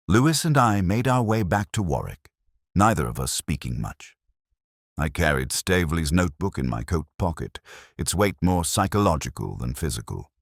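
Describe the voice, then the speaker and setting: A man narrating a detective mystery drama in the first person, in a measured, literary, brooding manner. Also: extremely low pitch, no room sound, clean studio sound.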